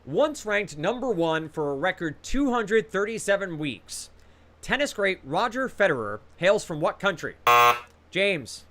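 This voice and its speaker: Lively, bright-voiced man hosting trivia. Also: high-pitched, unhurried, a little hiss or hum. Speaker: trivia quiz host. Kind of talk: reading quiz questions with casual banter. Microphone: video call.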